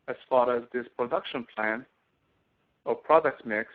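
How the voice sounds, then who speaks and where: A man instructor giving an explanatory software tutorial as a screen-capture voice-over, halting phrase by phrase and repeating himself. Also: mid-range voice. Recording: tinny, phone-like narrow-band voice recording.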